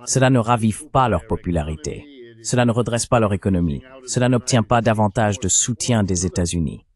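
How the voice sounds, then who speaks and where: A man's voice: fairly low pitch, animated, brisk, a little mellow. A man's AI-generated voice reading a translated political commentary in an explanatory, rhetorical manner. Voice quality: synthetic AI voice. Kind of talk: translated voice-over of a panel discussion.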